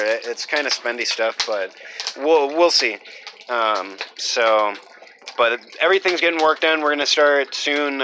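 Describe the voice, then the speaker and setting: Young guy rambling about his off-grid build, kinda monotone, lots of ums, noisy camera sound. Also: mid-range voice. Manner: rambling, lots of fillers, self-described monotone. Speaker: homesteader vlogger. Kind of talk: casual off-grid build vlog. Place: half-built earthship and outdoor rooftop.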